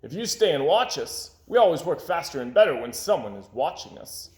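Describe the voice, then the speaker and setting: A man reading as an eager, high-pitched steam shovel operator. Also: big pitch swings, fairly quick, a little mellow, echoey room. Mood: assured.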